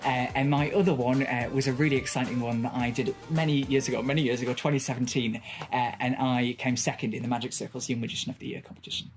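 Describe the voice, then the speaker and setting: A man of about twenty-one talks quickly and eagerly as an election candidate. He keeps restarting his sentences, with soft music underneath. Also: medium pitch, steady tone. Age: 20s. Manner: eager, fast talking with restarts. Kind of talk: casual interview answers.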